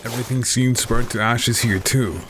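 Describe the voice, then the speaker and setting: A man playing an earnest, principled ninja investigator in an audio drama, measured and subdued. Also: mid-range voice, steady tone, a bit bright, a little hiss or hum, lightly acted. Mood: observant.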